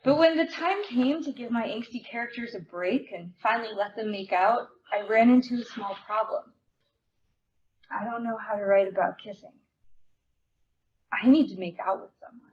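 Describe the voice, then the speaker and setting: High-voiced woman presenting at a live event. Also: normal speed, darkish tone. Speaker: presenter and reader at library event. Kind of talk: live dramatic reading of memoir. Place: indoor event before an audience. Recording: dull live recording, noticeable room noise.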